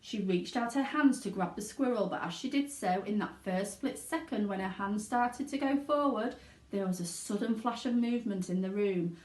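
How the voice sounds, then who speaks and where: A woman narrating a children's storybook aloud in an expressive reading style. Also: medium pitch, normal speed. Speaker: teaching assistant reading aloud. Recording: echoey room.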